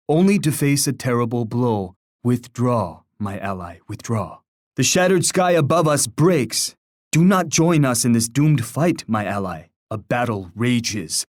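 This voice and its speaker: A man's voice: medium pitch, darkish tone, no room sound, close to an everyday voice. Video game war chief, male actor: solemn, stern leader, formal oratory, dry studio sound. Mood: grave warning, then proud, fierce. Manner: grave, formal, oratorical delivery. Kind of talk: theatrical video game character lines.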